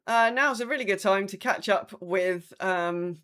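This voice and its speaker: A woman co-hosting a podcast in casual chat, wry and rambling, with hesitant pauses. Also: mid-range voice, fairly bright tone. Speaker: podcast co-host.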